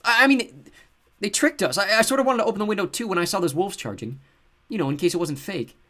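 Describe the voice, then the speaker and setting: A man's voice: a little high, very animated, racing, a bit bright, voice pushed up. A man doing a stammering, tearful young boy. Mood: upset. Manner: stammering, sniffling. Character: tearful, guilty boy, about ten.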